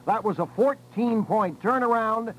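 High-pitched radio sports man on noisy tape. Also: unhurried, a bit bright. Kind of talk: scripted radio sports commentary. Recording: noisy old radio cassette recording.

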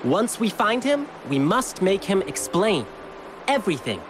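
Earnest, high-voiced young game hero. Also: male voice, sing-song, a little slow, noisy background. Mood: urgent, earnest. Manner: earnest, polite, reassuring. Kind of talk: scripted video game voice acting. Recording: game music under the voice. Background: background music.

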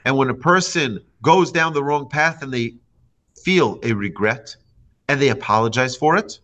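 A man in his forties, a rabbi giving a lively class with stories and acted-out quotes, speaking close into a studio mic in a small room. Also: mid-range voice, normal speed. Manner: lively storytelling with hand gestures and acted quotes. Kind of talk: animated Torah lecture. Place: indoor home studio before a plain wall. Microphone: large studio mic on boom arm, close.

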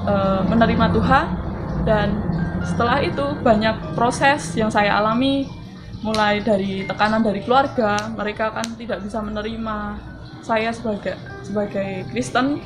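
A young woman with a slightly raised voice tells her personal story earnestly, pausing and restarting often as she searches for words. She is recorded close on a camera mic, and clear background music plays under her speech. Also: a little high. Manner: earnest, halting, many fillers and restarts. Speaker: testimony giver. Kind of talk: personal testimony, casual storytelling.

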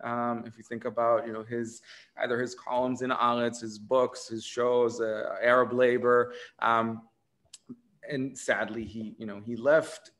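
A man's voice: medium pitch, little pitch movement, measured pace, a bit bright. A man in his thirties from Israel with an Israeli accent, talking in an academic conversation on a video call from home, thoughtful, with many fillers, restarts and tag questions. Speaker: author in conversation. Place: home room indoors.

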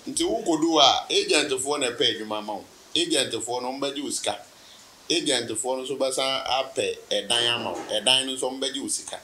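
A Ghanaian man in his thirties, a business guest in a relaxed sit-down interview, telling his story with laughs and anecdotes. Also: mid-range voice, normal speed, a little hiss or hum. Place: indoor room, seated on sofa.

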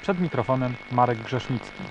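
A man's voice: mid-range voice, normal speed, close to an everyday voice. A man playing a formal, official radio news announcer in a parody bulletin. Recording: very noisy radio broadcast.

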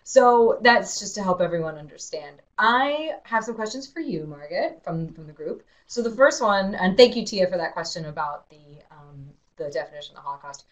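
A woman's voice: lowish voice, animated, moderate pace, few pauses, rough recording. Woman moderating an educational webinar. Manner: clear, explanatory, encouraging. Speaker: museum educator host. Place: indoor exhibit room.

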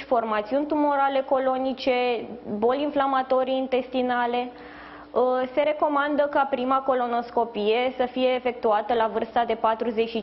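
Woman doctor explaining, slightly dull sound. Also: a little high. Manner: measured, formal, clinical explaining. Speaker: gastroenterologist, interview guest. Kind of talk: explanatory live TV interview. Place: indoors in a hospital, live video link. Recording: slightly dull sound, faint background noise.

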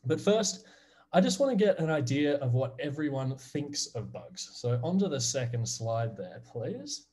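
An Australian man in his thirties, presenting to a class of kids over a video call. He sounds friendly and upbeat, with a middle-pitched voice. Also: very animated, a little slow, a little mellow. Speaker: guest presenter.

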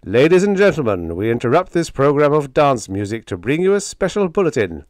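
A man playing a male radio announcer reading an urgent bulletin in a clear, crisp, ominous manner. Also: medium pitch, sing-song, a little fast, a little mellow, no echo, studio quality, voice pushed up, lightly acted. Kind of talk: old-time radio bulletin.

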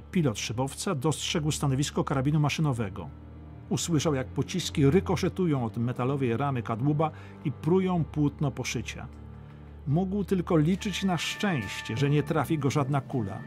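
Higher-voiced male audiobook narrator over music. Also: a little mellow. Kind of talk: scripted dramatic history narration.

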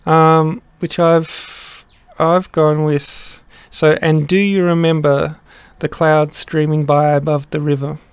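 A man's voice: on the high side, flat delivery, smooth, no room sound. Thoughtful man explaining a translation. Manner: thoughtful, halting, many restarts and fillers. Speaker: song translator explaining lyrics. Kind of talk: casual explanatory lesson. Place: small room indoors.

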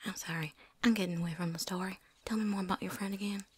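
A woman's voice: medium pitch, steady tone, husky, close to an everyday voice. A woman playing a chatty, cocky, seasoned vampire hunter in a whispered ASMR roleplay, rambling folksily. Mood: sympathetic, frank. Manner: folksy, rambling, with drawn-out asides.

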